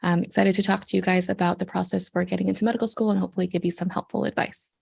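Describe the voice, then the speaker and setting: A young woman from Canada answers interview questions in a friendly, explanatory way. Her voice sits low and has a gravelly fry. She often pauses with an "um" as she gives advice. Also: level tone, a little mellow. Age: young adult. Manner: friendly and explanatory, with frequent ums. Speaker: guest, surgical intern.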